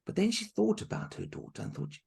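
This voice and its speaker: Casual: guy telling a folk tale to a group, super expressive, raspy voice. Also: sits high, brisk. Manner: animated, chatty asides to listeners. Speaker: storyteller.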